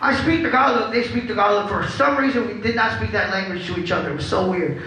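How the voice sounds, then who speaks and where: A man's voice: sits high, quick pace, pushed and bright. A punk band frontman talking casually between songs on a live club stage, joking and swearing through a stage mic. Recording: echoey live club with crowd.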